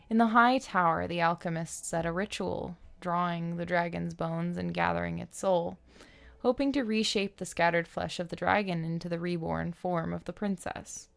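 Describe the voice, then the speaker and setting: Creaky, lower-pitched woman streamer. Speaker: live streamer playing a story game. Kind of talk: casual gaming commentary and reading aloud.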